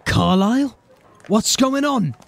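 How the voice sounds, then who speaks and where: A man's voice: very high voice, expressive, takes it slowly, gravelly, exaggerated voice. A man playing a grim, determined city watch officer in a fantasy audio drama, speaking in a terse, commanding way. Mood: wary.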